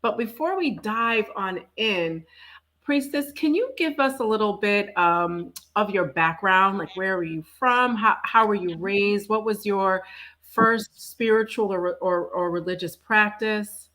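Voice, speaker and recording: A woman with a bright, forward voice at a middle pitch hosts a casual talk. She is effusive and chatty, telling things playfully as she welcomes people. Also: lively intonation, unhurried. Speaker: talk show host. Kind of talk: casual welcoming conversation and introduction.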